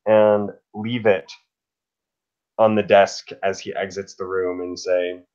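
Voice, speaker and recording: Slow, hesitant man with dull lo-fi sound. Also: sits low, level tone, a little mellow. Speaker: player in a tabletop role-playing game. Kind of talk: improvised roleplay narration.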